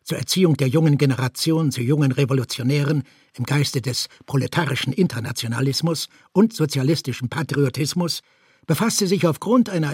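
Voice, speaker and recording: A man's voice: mid-range voice, husky, no echo, clean studio sound. A man giving a scripted reading of formal documentary text. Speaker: reader.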